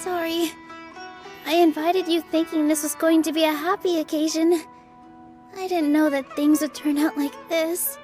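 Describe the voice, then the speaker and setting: A woman voicing a gentle, earnest young dancer in an anime game, thoughtful and soft in manner. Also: extremely high pitch, fairly even intonation, moderate pace, faint music behind. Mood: apologetic, reflective.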